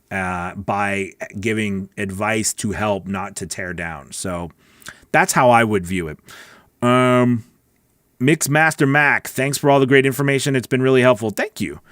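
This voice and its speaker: A man's voice: fairly low pitch, fairly bright tone, no echo. A middle-aged man chatting casually and directly as a livestream host and coach, answering questions on a close studio mic. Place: small home recording studio. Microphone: close broadcast mic on boom arm.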